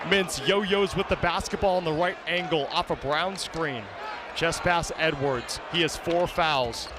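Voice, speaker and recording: A man's voice: on the high side, measured pace, noisy background. A young man working as a sports broadcaster, commentating and presenting in a punchy, descriptive announcer's delivery. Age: young adult.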